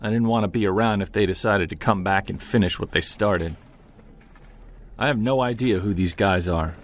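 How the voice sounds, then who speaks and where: Low-voiced, driven male investigator played by an actor. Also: normal speed, lightly acted. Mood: rattled, indignant. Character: driven, weary male amateur investigator, stubborn and earnest. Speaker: lead actor and narrator. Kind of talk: naturalistic audio drama acting with first-person narration.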